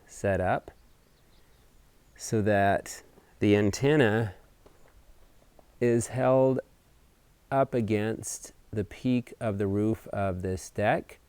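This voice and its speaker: A man presenting a casual explanatory hobby video update outdoors, talking in deliberate, measured phrases. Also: lowish voice, darkish tone, smooth, no echo. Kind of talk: casual explanatory vlog talk. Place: outdoors on a house deck.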